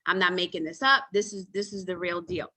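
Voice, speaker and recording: A woman's voice: fairly low pitch, steady tone, a little fast, pushed and bright. Emphatic woman hosting a wellness call. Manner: emphatic, repeats words, addresses listeners as sister friends. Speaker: host of a nutrition panel call. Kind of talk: motivational talk to an audience.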